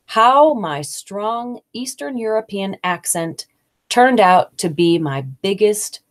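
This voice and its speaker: A woman's voice: mid-range voice, expressive, slow. An American woman hosting a casual, conversational talk about business English, thinking aloud with occasional fillers. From United States. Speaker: host.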